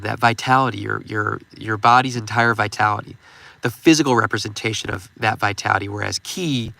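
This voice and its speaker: A man's voice: medium pitch, creaky, bright, forceful tone, no room sound. A man in his thirties with a stuffed-up nose, giving rambling casual advice as a channel host and leaning on "you know" fillers. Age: 30s. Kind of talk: casual advice talk on a stream.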